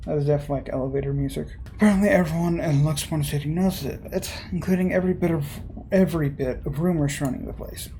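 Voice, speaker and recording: A person reading visual novel narration aloud, breaking off for informal, halting commentary. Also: medium pitch, mellow, slight background noise.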